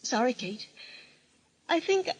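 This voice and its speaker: A frightened wife in a vintage radio mystery. Her voice is high and husky, with a nervous, pleading delivery that sounds fraught. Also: sing-song, slow, exaggerated voice, poor audio. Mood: distraught, weary. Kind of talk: old-time radio mystery acting.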